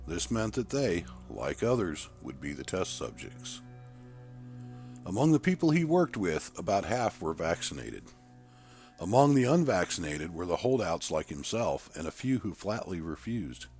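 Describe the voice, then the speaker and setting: A man reading his own story aloud as a narrator, in a steady, even tone. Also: low voice.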